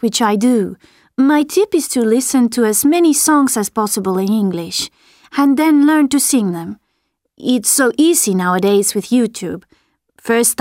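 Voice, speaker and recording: A woman with a fairly high voice reads a scripted tip in a clear, friendly way. The recording is dry and close, with no room sound around her. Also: darkish tone, no room sound. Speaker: learner giving a tip. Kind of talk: scripted textbook audio.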